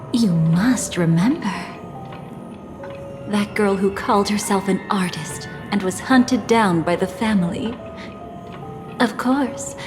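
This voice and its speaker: A poised, mysterious game narrator, tells her tale in a fairly high voice. She is very unhurried and deliberate, gently teasing and coaxing, over clearly audible music. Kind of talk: theatrical video game story narration.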